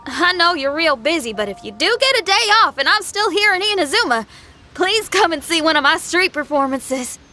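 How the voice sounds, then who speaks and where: A woman's voice: very high voice, big pitch swings, moderate pace, pushed and bright, faint music behind, full character voice. An actress playing a spirited, friendly young female street musician in a video game, earnest and folksy. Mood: grateful.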